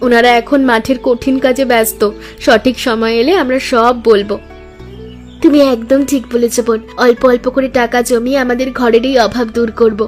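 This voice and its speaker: A high-pitched, earnest village housewife, played by a woman in an animated story. She sounds hardworking, hopeful and sisterly, with music playing behind her. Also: natural voice. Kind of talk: scripted animated story dialogue.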